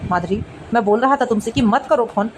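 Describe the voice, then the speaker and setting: Woman doing a husband in cartoon soap, kinda high voice, fed up but earnest, bit of noise. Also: brisk, natural voice. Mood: reproachful. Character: husband in a family drama, exasperated yet supportive. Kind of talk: melodramatic Hindi family cartoon drama.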